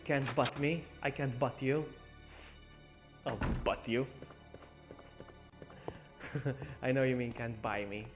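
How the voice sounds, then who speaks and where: A man's voice: mid-range voice, fairly even intonation, slow pace, pauses often. A young man streaming a video game, chatting casually with viewers, laughing often and muttering to himself as he plays. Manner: chatty, laughs often, reads and answers chat, mutters to himself while playing. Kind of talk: casual live-stream gameplay commentary. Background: game music.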